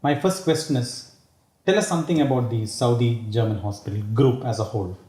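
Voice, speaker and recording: A man hosting an interview show, delivering a scripted introduction and questions in a formal, measured way. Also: medium pitch, dark tone. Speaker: interview host. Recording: roomy echo.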